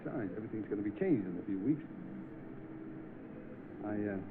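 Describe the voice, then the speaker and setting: An actor with a British accent playing a plain-spoken, defiant young chauffeur in an old film. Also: male voice, on the low side, lively intonation, fairly quick, a loud crowd, natural voice. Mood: earnest. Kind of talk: vintage film acting. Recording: tinny, noisy old film soundtrack.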